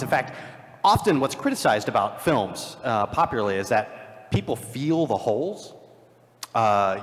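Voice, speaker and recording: A man on a live convention panel, talking in a rambling, self-deprecating way with many fillers. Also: medium pitch. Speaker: panelist, filmmaker. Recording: long hall reverb.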